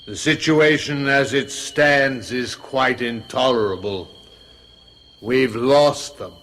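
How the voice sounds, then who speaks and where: A stern male superior in a radio drama. He speaks slowly and formally, every word deliberate, with quiet menace. His pitch is medium for a man. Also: slight background noise. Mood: commanding, displeased.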